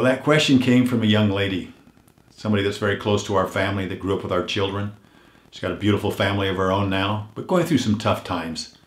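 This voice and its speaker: An older man hosting a weekly motivational message, earnest and unhurried, his voice a little hoarse as if he is losing it, often dropping to a hush on heartfelt points. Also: lowish voice, reverberant. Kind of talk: reflective motivational talk.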